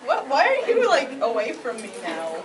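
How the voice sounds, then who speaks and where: A woman's voice: sits high, huge pitch swings, normal speed, over a noisy crowd. A woman as chatty teasing young anime guy, echoey room. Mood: playful. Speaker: cosplay panelist. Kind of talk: improvised in-character panel banter. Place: convention panel room with audience. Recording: echoey noisy convention room, far from mic.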